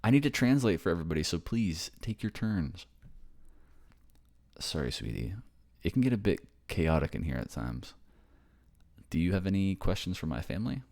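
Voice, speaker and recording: A man performs an affectionate boyfriend in an ASMR roleplay. His voice sits low, with a gentle, casual delivery. He reassures and explains like someone talking to a partner he loves. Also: sing-song, moderate pace, vocal fry, exaggerated voice. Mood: flustered, mediating. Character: affectionate young boyfriend raised by a ghost family.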